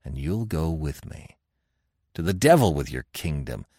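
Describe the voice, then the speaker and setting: Man acting a very deep, gruff barbarian chief. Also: very animated, unhurried, dark tone, no echo, clean studio sound, heavily acted. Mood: angry, boastful. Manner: gruff, growling, sardonic. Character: fierce, proud barbarian warrior chief, big, grim and sardonic.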